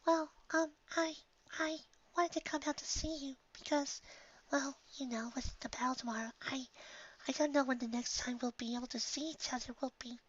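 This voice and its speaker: A storyteller voicing a shy, gentle young pegasus mare, soft, breathy and hesitant, with nervous stammers. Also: high voice, fairly even intonation, normal speed, lo-fi sound, voice pushed up.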